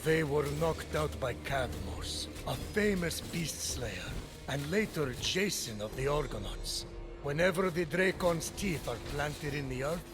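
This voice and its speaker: An actor playing a wise, patient storyteller of myths, narrating a video game in a measured, dry, solemn way. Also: male voice, fairly high pitch, expressive, music in the background.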